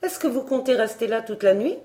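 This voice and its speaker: A woman's voice: a little high, expressive, unhurried, darkish tone, pitched above the performer's own voice. A woman voicing a sour, indignant, paranoid governess in a storybook, with a theatrical, scolding manner. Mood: sour, scornful.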